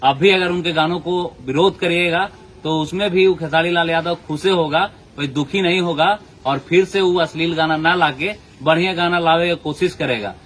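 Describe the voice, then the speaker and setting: A man's voice: fairly high pitch, moderate pace, fairly bright tone, slight background noise. A man hosting a channel, talking earnestly and pleading with viewers. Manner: earnest, pleading, folded-hands appeal. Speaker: channel host. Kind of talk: casual commentary to viewers.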